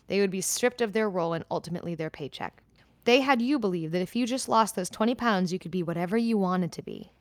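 Woman in her 30s, podcast co-host, candid and halting, mid-pitched, close dry mic. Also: no echo. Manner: candid, talks in starts and restarts. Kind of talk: casual chat and reading a letter aloud.

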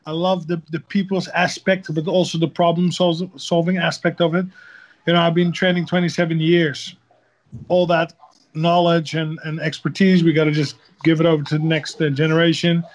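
A man with a Dutch accent, a fighter interviewed over a video call, calm and measured with dry humor. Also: fairly high pitch, rough recording. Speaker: guest, fighter.

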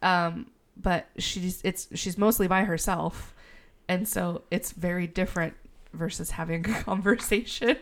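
Woman, podcast co-host, deep fried sinus-infection voice, loose and laughing. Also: fairly even intonation, unhurried. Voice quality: gravelly. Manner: laughs often, swears casually. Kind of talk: casual chat.

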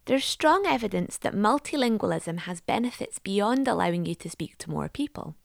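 A woman's voice: mid-range voice, lively intonation, normal speed, gravelly, no room sound, studio quality. A woman reporter reading scripted narration in a fluent, polished delivery.

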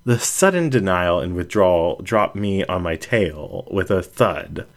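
A man reading first-person fiction aloud as a storyteller, in an expressive and deliberate manner. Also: sits low, gravelly. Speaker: audiobook reader.

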